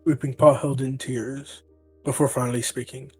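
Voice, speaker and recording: A man's voice: medium pitch, level tone, normal speed, warm tone, breathy edge. A man narrating a scripted third-person animal story as part of a roleplay. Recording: dry, music behind.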